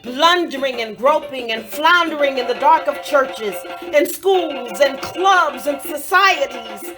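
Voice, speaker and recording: A woman recites poetry solemnly and very slowly, pausing between short phrases. Her voice sits a little high and carries a dramatic, measured delivery. African drums play clearly behind her. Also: animated. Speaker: poetry reader. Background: African drum music.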